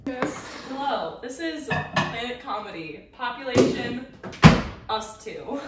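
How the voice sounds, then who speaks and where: A woman's voice: a little high, animated, slow pace. Dry-joking young woman in an echoey kitchen. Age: young adult. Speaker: cooking vlog co-host. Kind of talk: casual chat while cooking. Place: large home kitchen. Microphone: camera across the room. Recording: echoey kitchen, distant mic, loud noise.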